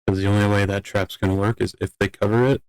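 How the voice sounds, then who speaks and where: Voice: sits low, level tone, quick pace, a little mellow, clear, resonant tone, no echo, low-quality recording. A person talking casually in a roleplay discussion, explaining things in a plain-spoken way. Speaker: role-player in a court meeting.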